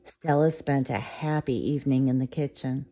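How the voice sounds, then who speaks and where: A woman reading a bedtime story aloud to a child, gentle and unhurried. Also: very deep voice, flat delivery.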